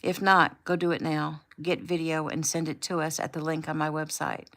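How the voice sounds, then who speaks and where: A woman's voice: low-pitched, flat delivery, normal speed, a bit bright. A woman voicing an earnest young man speaking to his online followers in an encouraging, easygoing way. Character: earnest young man, friendly social media personality.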